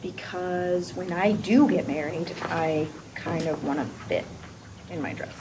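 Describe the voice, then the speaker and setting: An actress reading a gentle, hesitant bride-to-be in a sitcom table read. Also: female voice, lowish voice, steady tone, unhurried, natural voice. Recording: loud hiss.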